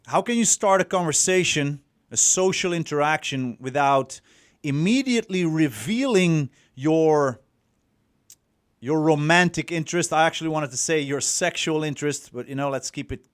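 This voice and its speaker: A man's voice: mid-range voice, smooth, dry recording, studio quality. A Dutch man with a Dutch accent, whose first language is Dutch, giving a rambling, profane, casual coaching talk on a livestream, full of fillers and restarts. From Netherlands. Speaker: dating coach.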